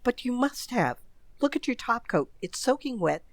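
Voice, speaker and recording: A woman's voice: a little high, sing-song, moderate pace, dry recording. A woman playing an impatient, suspicious well-to-do wife who questions sharply in a radio mystery. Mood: impatient, scolding. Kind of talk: old radio mystery acting.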